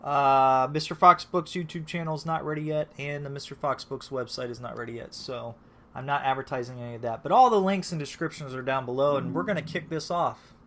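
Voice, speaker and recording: A man's voice: medium pitch, fairly quick, fairly bright tone, slight background noise. A man hosting a casual live stream, rambling and restarting with lots of fillers, just back from being sick. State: recovering from illness. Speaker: host.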